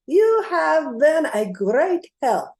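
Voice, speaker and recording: A woman's voice: high voice, very animated, slow, darkish tone, heavily acted. A woman playing a polite, helpful storybook girl in an amateur radio play, with a reassuring manner.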